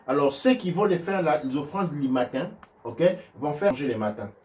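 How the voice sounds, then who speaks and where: A man teaching about ancestors and offerings, answering questions in a halting, emphatic way with many repeated words. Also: a little high, lively intonation, a little mellow, far from the mic. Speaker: spiritual teacher. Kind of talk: religious teaching, live Q&A.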